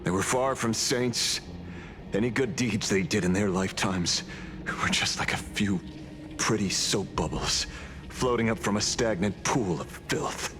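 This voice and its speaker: Man acting a low, weary, grim detective. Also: measured pace, background noise, natural voice. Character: world-weary middle-aged male detective, grim and resigned. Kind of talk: dramatic game voice acting. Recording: music behind the voice.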